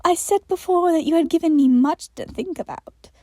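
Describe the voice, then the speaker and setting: Woman voicing Michael, giddy high-lilting uncanny entity. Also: moderate pace, warm tone, no room sound. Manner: airy, gleeful, taunting. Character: giddy, teasing, uncanny inhuman spiral entity named Michael.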